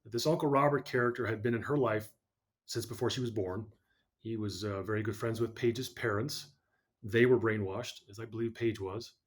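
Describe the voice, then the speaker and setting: A man speaking as a podcast guest, telling a personal story in an earnest, measured way. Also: lowish voice, fairly even intonation.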